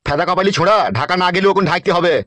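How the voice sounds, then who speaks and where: Cartoon politician, male actor, crude smooth talker, quick delivery, close dry sound, West Bengal Bengali accent. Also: high voice, pushed and bright, no echo. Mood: bossy, crude. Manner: crude, brash, smooth-talking. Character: scheming, lecherous, bossy male politician. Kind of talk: comedy cartoon voice acting.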